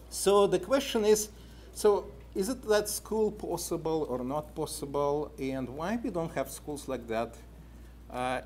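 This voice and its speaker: A man's voice: on the high side, slight background noise. A man in his fifties with a Russian accent gives a conference lecture at a podium in an indoor meeting room, talking animatedly with many "uh" fillers and putting questions to the audience, picked up by a room camera from a distance. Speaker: conference speaker.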